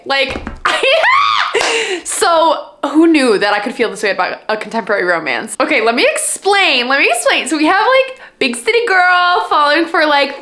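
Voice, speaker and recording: Gushing Minnesotan woman vlogging from her couch. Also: sits high. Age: young adult. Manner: animated, gushing, with lots of fillers. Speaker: book vlogger. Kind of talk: casual chat. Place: living room, indoors.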